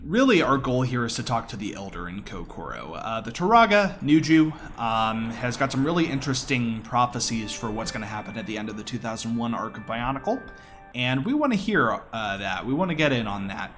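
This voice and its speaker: A man's voice: medium pitch, sing-song, fairly quick, a bit bright, soft background music. A man giving casual, explanatory live commentary as he speedruns a video game.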